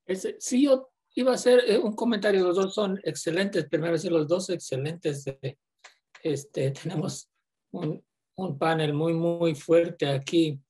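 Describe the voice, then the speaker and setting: A man's voice: fairly high pitch, measured pace, darkish tone, husky. An older man asking a question at an online conference, rambling and repeating words as he searches for phrasing. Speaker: audience member asking a question.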